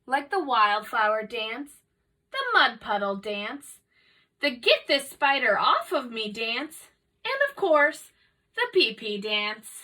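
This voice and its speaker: High, animated woman reading a storybook. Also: far from the mic. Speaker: storybook reader for children. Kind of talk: animated children's read-aloud narration.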